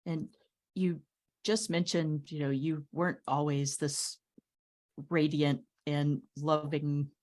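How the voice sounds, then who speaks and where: A woman hosting a podcast, introducing her guest warmly and enthusiastically. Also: low-pitched, little pitch movement, slow. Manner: warm, admiring, enthusiastic. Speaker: podcast host. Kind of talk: interview host.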